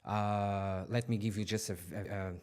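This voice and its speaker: Low-voiced man in his forties from Portugal, podcast guest, earnest, halting, restarts often. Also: steady tone, darkish tone. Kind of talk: personal life story.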